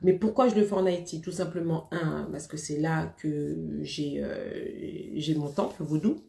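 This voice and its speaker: Woman host, lowish voice, chatty live-stream talk, laughs often, echoey room. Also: measured pace, vocal fry, warm tone, a little hiss or hum. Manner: chatty, laughs often, greets viewers by name. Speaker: Vodou priestess hosting a live stream. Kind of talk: casual live-stream chat.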